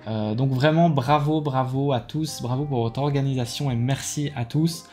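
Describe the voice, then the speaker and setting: A man's voice: mid-range voice, moderate pace, a little mellow, soft background music. A tired man with a Belgian French accent gives a casual, rambling debrief to his viewers, full of hesitations and enthusiastic thanks. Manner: rambling, full of fillers and restarts, enthusiastic praise. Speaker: card game YouTuber.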